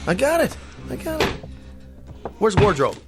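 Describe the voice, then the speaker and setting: An actor playing a vain, demanding aging TV cowboy star who whines and bosses the crew about. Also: male voice, sits high, sing-song, measured pace, over loud music. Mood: whiny. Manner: pompous, demanding, whiny. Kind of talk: radio drama acting.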